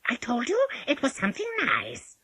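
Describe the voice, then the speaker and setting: An irritable storybook wolf, acted by a woman, pretending to be somebody's sweet mother. The voice is pushed high into a false sweetness and swoops around. It stays hurried and testy under the disguise, with a slightly husky edge. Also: huge pitch swings, sharp tone, no echo, exaggerated voice.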